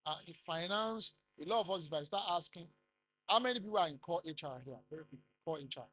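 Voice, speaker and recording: A middle-aged man with a Nigerian accent giving a business seminar talk, rising loudly for emphasis and quizzing the audience. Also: a little high, lively intonation, quick pace, a little hiss or hum. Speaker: conference speaker.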